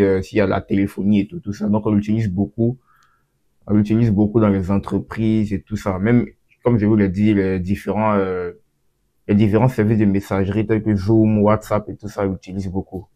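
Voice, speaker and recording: A man's voice: on the low side, dark tone, lo-fi sound. A man giving a casual, off-the-cuff tutorial, often repeating and restarting his phrases as he explains. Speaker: tutorial host.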